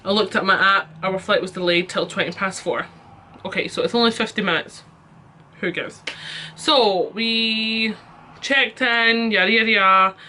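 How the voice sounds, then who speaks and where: A woman's voice: mid-range voice, moderate pace, pushed and bright, slight background noise. A young Scottish woman telling a storytime rant as a vlogger, chatty and exasperated, with lots of fillers.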